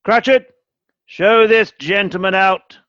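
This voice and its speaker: A man's voice: high-pitched, slow pace, bright, forceful tone, no room sound, full character voice. A man playing a scornful old miser in a muffled recording. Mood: barking orders. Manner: gruff, grudging, dismissive. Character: cold, miserly elderly businessman, curt and scornful. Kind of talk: radio play acting.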